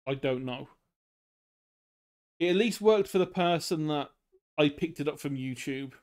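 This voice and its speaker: A man's voice: a little high, darkish tone, clear, resonant tone. Man rambling through a drawing tutorial. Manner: rambling, loose explanations with many fillers. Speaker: streamer teaching drawing. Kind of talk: casual live-stream art tutorial.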